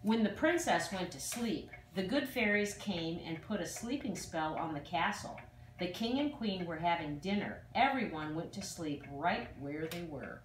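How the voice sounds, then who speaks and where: An older woman reads a children's fairy tale aloud, with expressive, dramatic emphasis on exclamations. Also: on the low side, moderate pace. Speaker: storyteller. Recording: distant, echoey room with faint noise.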